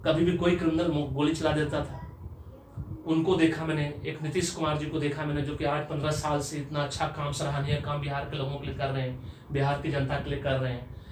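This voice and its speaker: A middle-aged man from Bihar, lecturing with earnest emphasis. His voice sits somewhat high and grows louder when he presses a point. He is recorded from some distance in an echoey room with faint background hiss. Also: level tone, brisk. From Bihar, India. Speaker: professor. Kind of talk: motivational talk to camera. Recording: echoey room, mic far off.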